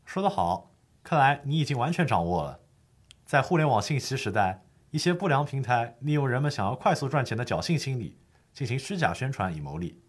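A man's voice: medium pitch, very animated, a little fast, breathy edge. A man playing a patient lawyer who explains the law formally and methodically in a scripted educational audio drama. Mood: approving, earnest.

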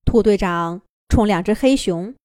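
A woman storyteller reading a children's fairy tale in an expressive, lilting manner. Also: medium pitch, unhurried, no echo, clean studio sound.

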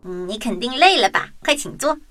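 A woman's voice: on the high side, expressive, a little fast, fairly bright tone, a little hiss or hum. A woman voicing a cheerful, friendly young male country mouse in a children's storybook drama, eager and wide-eyed. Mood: warm, content.

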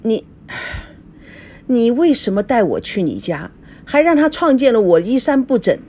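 A flustered male manager voiced by a woman narrator in an audiobook drama. He is halting and exasperated, pleading and placating in a lively, fairly high voice. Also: a little hiss or hum.

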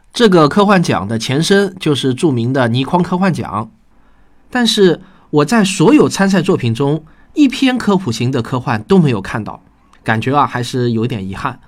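A man hosting a podcast and talking to listeners about his own writing in an earnest, explanatory way. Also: on the high side. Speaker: podcast host and science fiction writer. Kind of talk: scripted podcast talk to listeners.

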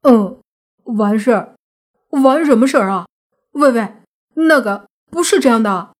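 A woman's voice: mid-range voice, expressive, normal speed, dark tone, slightly rough. A woman narrator voicing a flustered, indignant young male student who sputters defensively in an audiobook story. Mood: panicked.

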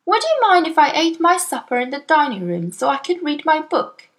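A woman's voice: sits high, sing-song, moderate pace, pitched above the performer's own voice. A woman voicing a clever, outspoken young girl who speaks earnestly. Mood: polite. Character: sharp-minded indignant young girl.

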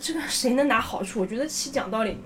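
A woman's voice: on the high side, lively intonation, fast talker, crowd noise. A woman chatting casually and informally on a podcast, offering tentative opinions in a chatty way.

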